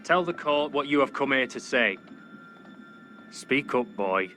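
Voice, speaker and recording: A man's voice: medium pitch, expressive, measured pace, sharp tone, a little hiss or hum. An actor playing a stern, impatient witch-trial judge in a period video game drama, curt, formal and commanding. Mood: stern, measured.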